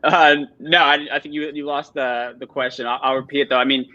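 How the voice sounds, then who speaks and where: A man's voice: medium pitch, level tone, a little fast, pushed and bright, no echo. An American man moderating a casual roundtable over a video call, asking informal, wordy questions in a muffled lo-fi recording. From New York and New Jersey, United States. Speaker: panel moderator. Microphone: live video call.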